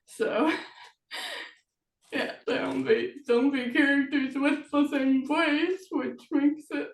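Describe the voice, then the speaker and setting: An echoey, distant recording of a woman in casual conversation. Her voice is fairly high and she speaks slowly and haltingly, letting thoughts trail off unfinished. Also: steady tone. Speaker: author and interview host. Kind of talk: casual chat. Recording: echoey room, distant from mic.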